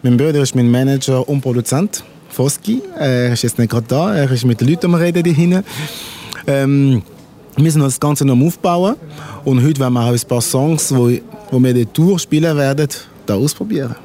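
A middle-aged man from Congo whose first language is Lingala, a musician talking in an unhurried, word-searching way in an interview at an outdoor festival. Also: medium pitch, warm tone. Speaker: musician guest. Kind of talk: casual interview.

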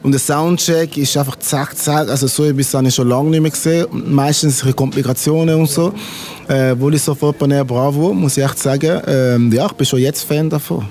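Mellow-voiced man, native Lingala speaker. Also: on the high side, fairly even intonation. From Congo. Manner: unhurried, searching for words. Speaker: musician guest. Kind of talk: casual interview. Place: outdoor music festival.

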